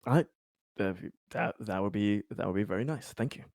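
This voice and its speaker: A man who plays a tabletop roleplaying game, talking casually in halting, repeated phrases and swearing freely. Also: fairly low pitch, darkish tone, dry recording, studio quality. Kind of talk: casual game chat over voice call.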